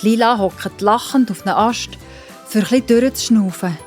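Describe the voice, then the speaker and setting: Swiss German woman narrating over soft music. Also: fairly high pitch, normal speed. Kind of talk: children's story narration.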